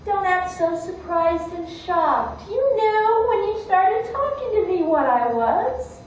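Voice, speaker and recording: A woman's voice: very high-pitched, expressive, slow, voice pushed up, heavily acted. A woman storyteller voicing a treacherous, wheedling snake who mocks as readily as it coaxes, in a folktale. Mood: gloating, scornful. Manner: wheedling, mocking. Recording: echoey room, distant mic, faint noise.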